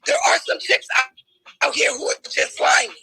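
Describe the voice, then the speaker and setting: A woman's voice: high-pitched, huge pitch swings, moderate pace, vocal fry. A woman arguing in a heated debate, emphatic and challenging, and losing her voice. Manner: forceful, emphatic, challenging questions.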